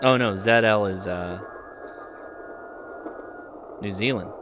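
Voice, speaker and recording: Low-voiced man casually reviewing gadgets. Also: slow, background noise. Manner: informal, thinking aloud. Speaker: host of a radio gear review channel. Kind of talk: casual hands-on product review. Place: indoors at a desk.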